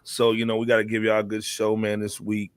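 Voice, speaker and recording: A man's voice: fairly low pitch, monotone, fairly quick, clean tone, dry recording. An American man hosting a casual hip hop talk show, speaking in loose slang and often tagging phrases with "man". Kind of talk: casual panel chat about hip hop.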